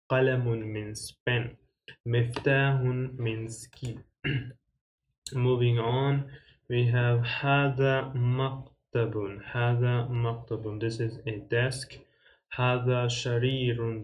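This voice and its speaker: A man walks beginners through a lesson at an unhurried pace, stopping often to restart a sentence or add a filler. His voice stays level and even, with little rise or fall, as he reads each new word aloud and then repeats it. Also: mid-range voice, slight background noise, rough recording. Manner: slow, measured explaining with many fillers and restarts; reads Arabic words aloud and repeats them. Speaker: Arabic teacher. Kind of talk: casual step-by-step beginner lesson.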